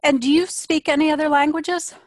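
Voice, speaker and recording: A woman's voice: high-pitched, steady tone, a little fast, no echo, studio quality. A woman hosting a business podcast, asking questions and retelling earlier guests' stories in a conversational way. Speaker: podcast host. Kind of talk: interviewer chat.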